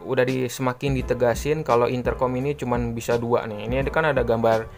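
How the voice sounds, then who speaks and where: A man talks in a mid-pitched voice over faint music. He explains a product off the cuff like an online seller, informal and rambling, often circling back to repeat his point. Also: level tone, brisk, gravelly. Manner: informal, rambling, repeats himself with filler tags. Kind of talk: casual unscripted product explainer. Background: background music.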